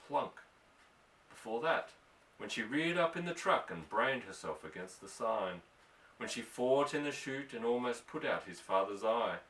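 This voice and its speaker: Man, medium pitch, scripted story narration, audiobook reader, roomy echo, slightly distant microphone. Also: lively intonation, a little slow, a bit bright.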